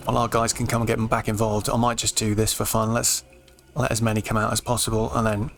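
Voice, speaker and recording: A British man giving laid-back, explanatory let's play commentary in an informal way, his voice slightly croaky. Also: fairly low pitch, little pitch movement, brisk, fairly bright tone, soft background music. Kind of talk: casual game commentary.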